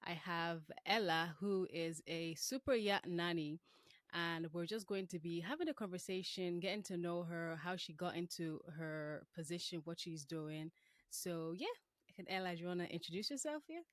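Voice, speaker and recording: A woman's voice: fairly low pitch, moderate pace, no echo, studio quality. A woman in her twenties hosting a podcast in a casual, informal way. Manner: informal, frequent "like". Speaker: podcast host.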